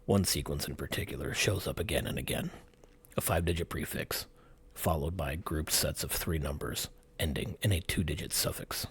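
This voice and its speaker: An extremely deep-voiced man narrates a scripted mystery piece. His voice is heavily husky and gravelly, with a lot of breath in it. He delivers short dramatic phrases with suspense. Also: moderate pace, dry recording. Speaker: channel host narrator.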